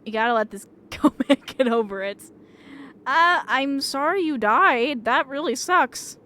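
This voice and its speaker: Female game streamer: higher register, casual, cheeky and blunt, reads narration aloud, mildly noisy recording. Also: animated, a little slow, pushed and bright. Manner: blunt, jokey, swearing. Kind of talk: casual playthrough commentary with read-aloud narration.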